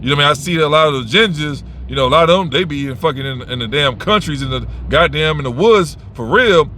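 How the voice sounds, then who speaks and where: A man's voice: fairly high pitch, expressive, quick pace, sharp tone, a little hiss or hum. A man giving advice in a casual, unscripted monologue, blunt and rambling, swearing freely and restarting his sentences.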